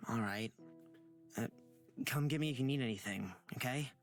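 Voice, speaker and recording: A man's voice: medium pitch, unhurried, fairly bright tone, faint music behind. A male actor playing a mild, considerate young adventurer in a visual novel game, polite and hesitant. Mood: hesitant concern.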